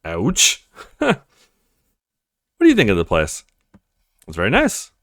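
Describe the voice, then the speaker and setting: Animated male let's player, very lively pitch. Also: slow pace, dry recording, studio quality. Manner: animated, acting out characters' lines. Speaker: let's play streamer. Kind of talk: reading visual novel dialogue aloud.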